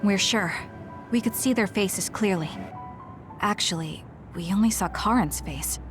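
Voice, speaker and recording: An actress playing a cool, decisive young squad leader in an anime-style video game. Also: female voice, mid-range voice, a little slow, over music, close to an everyday voice. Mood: cool, resolute.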